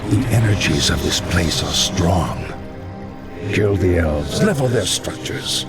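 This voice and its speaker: An actor playing a male necromancer who speaks in a measured, grave, warning way in a video game cutscene. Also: low-pitched, expressive, loud music behind the voice, heavily acted. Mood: wary. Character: cautious male necromancer, older adviser.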